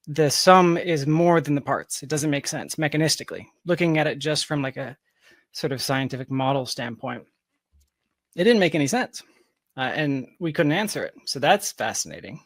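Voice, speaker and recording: A man's voice: on the high side, moderate pace, no echo, clean studio sound. A man in his thirties from Georgia giving reflective, explanatory answers as a guest in a conversational interview. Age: 30s. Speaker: podcast guest, software developer.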